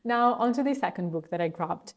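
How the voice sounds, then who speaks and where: A woman's voice: fairly low pitch, animated, brisk. A young woman from Italy with an Italian accent, chatting enthusiastically as a book vlogger recommending books. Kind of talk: casual book recommendation vlog.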